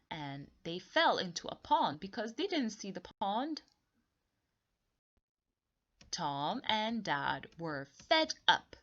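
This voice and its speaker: A woman reads a picture book aloud for young children at an unhurried, deliberate pace. Her pitch moves up and down a great deal as she tells and simply explains the tale. The recording sounds slightly muffled and lo-fi. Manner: expressive, deliberate, repeats and explains for young listeners. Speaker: storybook reader for children. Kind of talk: read-along storytelling with simple explanations.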